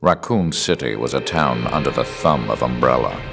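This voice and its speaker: Ruthless villain back from death: very low, gravelly, menacing calm. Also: male voice, heavily acted. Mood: grandiose. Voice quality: deep gravelly voice. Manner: slow, icy, menacing delivery. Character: cold, ruthless male villain risen from death. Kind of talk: game cutscene voice acting. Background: music.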